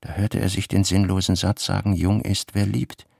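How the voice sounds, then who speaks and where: A very low male voice with heavy gravel narrates a literary radio play. He sounds brooding, and every word comes out close and dry. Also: flat delivery, normal speed, darkish tone, dry recording, exaggerated voice. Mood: brooding, tormented.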